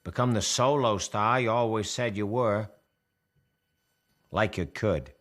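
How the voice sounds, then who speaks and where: Low-voiced, brash American studio boss. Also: male voice, a little slow, fairly bright tone. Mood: scornful, combative. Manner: slangy, sarcastic, bullying. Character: brash, domineering male Hollywood studio boss of the 1930s. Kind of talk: radio-play style period drama acting.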